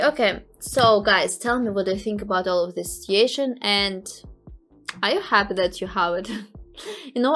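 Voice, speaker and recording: Female reaction host, Russian accent, medium pitch, simple halting phrasing, light music underneath. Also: fairly bright tone. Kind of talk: casual reaction commentary.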